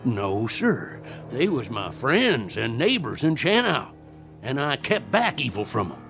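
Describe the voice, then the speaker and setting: A man's voice: medium pitch, very animated, a little slow, creaky, fairly bright tone, soft background music, exaggerated voice. An actor playing a fervent old Southern conjure man in a radio play, with a folksy, theatrical rustic way of talking. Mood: aggrieved, folksy. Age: older. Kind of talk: dramatic radio play acting.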